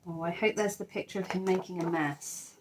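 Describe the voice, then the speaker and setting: A woman teacher sharing a children's picture book, expressive and enthusiastic, with chatty asides. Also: on the low side, brisk, noisy background. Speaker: teacher reading to pupils. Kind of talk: children's storybook read-aloud with chatty asides.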